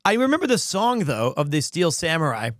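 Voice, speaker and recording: A man's voice: a little high, sing-song, brisk, fairly bright tone, no room sound. A man chatting casually on a game livestream in a self-deprecating, informal way. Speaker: game streamer.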